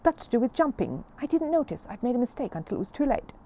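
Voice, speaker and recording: Woman voicing a polite, eager young bear, pitched up. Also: big pitch swings, fast, warm tone. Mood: sheepish. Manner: polite, earnest, apologetic. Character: polite, earnest, hopeful young male talking bear.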